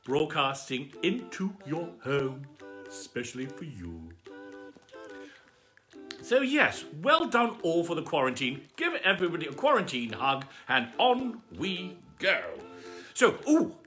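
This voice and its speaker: Casting: pompous, affectionate cat aristocrat, theatrical livestream host, bright tone, wildly animated pitch. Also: measured pace, soft background music. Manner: pompous, gushing, luvvie exclamations. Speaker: live bedtime story host. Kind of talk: theatrical livestream greeting and shout-outs.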